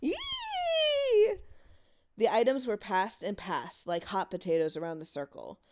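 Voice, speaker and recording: A woman's voice: lowish voice, animated, normal speed, a little mellow. A woman narrating a horror short story in a dramatic reading style.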